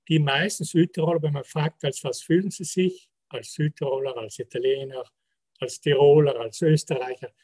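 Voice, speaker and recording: A man, a sociologist calmly explaining survey results in a panel talk. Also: fairly high pitch, breathy edge. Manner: measured, explanatory, citing percentages. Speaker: sociologist presenting survey research.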